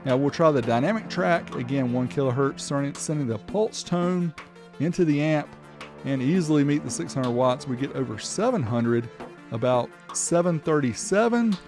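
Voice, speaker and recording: Male reviewer, higher-than-average pitch, casual and upbeat commentary, music present in the track. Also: darkish tone, no room sound. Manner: chatty, informal, upbeat. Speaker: host of a car audio testing show. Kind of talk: casual product test commentary.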